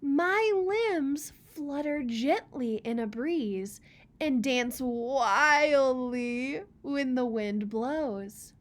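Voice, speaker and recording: A woman voicing a curious, gently boastful tall pine tree, expressive and questioning, in a picture book read-aloud. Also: high voice, extremely slow. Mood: breezy, boastful.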